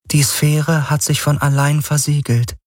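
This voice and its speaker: Give me a measured, knowing artificial voice, done by a man, higher than his usual, dry and close. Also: steady tone, darkish tone, dry recording. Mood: explaining.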